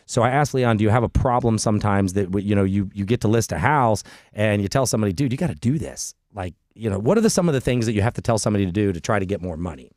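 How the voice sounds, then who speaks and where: A man's voice: on the low side, lively intonation, fast talker, darkish tone, no room sound. A man hosting a radio talk show in a studio, chatting casually, joking and rambling. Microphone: studio mic.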